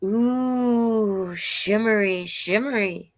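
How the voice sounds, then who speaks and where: A woman's voice: mid-range voice, extremely slow, pitched above the performer's own voice. Woman playing a wide-eyed, cooing little boy. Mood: awed, eager. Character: eager little boy enchanted by sparkly things.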